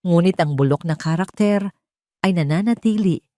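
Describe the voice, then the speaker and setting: A woman narrating a first-person dramatic story from a script, with measured phrasing. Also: lowish voice, mellow, dry recording, clean studio sound.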